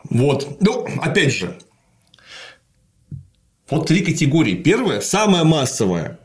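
A man in a casual conversation, explaining history at length in a lecturing way, often pausing with fillers. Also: fairly high pitch, sing-song.

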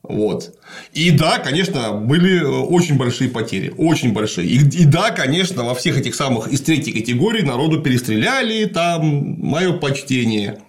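A man with a mid-range voice that rises and falls a lot as he explains history. He lectures patiently, stopping often to pause and restart. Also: medium pitch, animated. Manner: lecturing, explanatory, with frequent fillers.

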